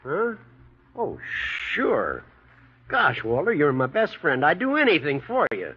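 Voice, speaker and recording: Husky, excitable American working-class pal. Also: male voice, on the high side, unhurried, heavily acted. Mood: surprised, earnest. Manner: folksy, slangy, excitable. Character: startled, good-hearted working-class best friend. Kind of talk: 1940s radio drama acting. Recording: dull vintage radio recording.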